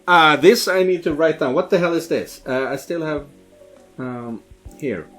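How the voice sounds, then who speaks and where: A man's voice: a little high, a little slow, fairly bright tone, faint music behind. A man from Sweden giving casual, rambling let's play commentary, hesitating as he goes. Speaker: streamer playing and commenting on a visual novel.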